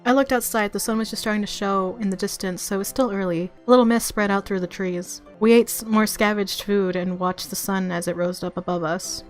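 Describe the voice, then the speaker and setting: Expressive woman reading over game music. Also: mid-range voice, a little fast, hardly any pauses. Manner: expressive, easily flustered. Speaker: streamer playing a visual novel demo. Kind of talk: let's play, reading narration aloud with reactions. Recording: music playing behind the voice.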